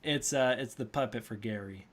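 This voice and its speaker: A man's voice: mid-range voice, normal speed. Eager young man, easy laugh. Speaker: puppeteer and voice actor. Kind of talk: casual chat.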